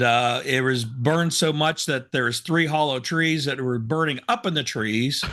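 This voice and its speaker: A man co-hosting a casual talk show, hoarse from smoke, telling rambling self-deprecating stories. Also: fairly high pitch, pushed and bright. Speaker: co-host. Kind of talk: casual chat, storytelling.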